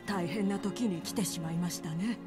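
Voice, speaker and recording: An actress playing a composed, firm townswoman in a dark fantasy game, speaking politely but with urgency. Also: fairly low pitch, normal speed, natural voice. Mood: firm, apologetic. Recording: music under the voice.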